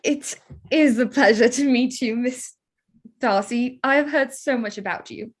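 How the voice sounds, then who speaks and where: A woman's voice: fairly high pitch, measured pace. A woman as poised, reserved young Lizzie Bennet. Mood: flustered courtesy. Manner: polite, reserved. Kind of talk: staged reading of period drama.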